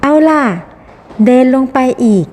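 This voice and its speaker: A woman's voice: unhurried, darkish tone. A woman reading a scripted children's story in simple phrasing for small children, in a reverberant recording. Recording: long reverberant hall echo.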